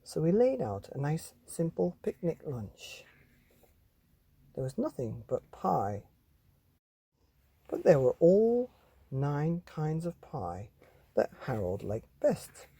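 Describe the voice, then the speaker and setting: A man reading a children's bedtime story as a podcast host, speaking straight to young listeners in drawn-out, dramatic phrases. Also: a little high, dark tone, slightly rough.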